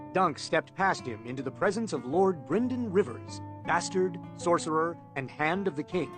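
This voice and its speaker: Guy reading a fantasy audiobook dramatically, voice a bit high, guitar music playing under it. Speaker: audiobook narrator. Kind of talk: dramatic storybook narration with character voices.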